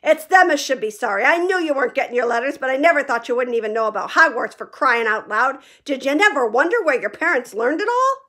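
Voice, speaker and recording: A woman reading a storybook aloud, voicing a huge, gruff, hot-tempered male groundskeeper who booms and blusters. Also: expressive, fairly quick, hardly any pauses, fairly bright tone, exaggerated voice. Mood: indignant. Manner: booming and indignant.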